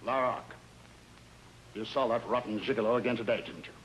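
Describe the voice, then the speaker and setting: A man plays a possessive, menacing older husband in a vintage TV drama. He speaks formally and theatrically at a middling pitch, over faint noise on an old soundtrack. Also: steady tone, a little fast, a bit bright, lightly acted, rough recording. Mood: cold, suspicious. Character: jealous, possessive older husband, menacing toward his wife. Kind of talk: old-time dramatic acting.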